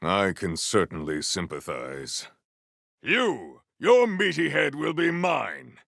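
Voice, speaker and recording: A man's voice: on the low side, huge pitch swings, gravelly, fairly bright tone, no echo, clean studio sound, exaggerated voice. A man voicing a stern, formal warrior in a video game, proud and battle-hungry, with grave and eloquent diction. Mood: grave, composed, then roaring, fierce. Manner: formal, measured, ceremonious diction, few contractions. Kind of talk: video game character voice lines.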